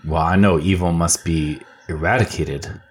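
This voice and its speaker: Low husky guy playing his character, chatty, kinda feeling his way through. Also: fairly even intonation, normal speed. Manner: conversational, searching for words. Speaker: player. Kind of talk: in-character tabletop roleplay.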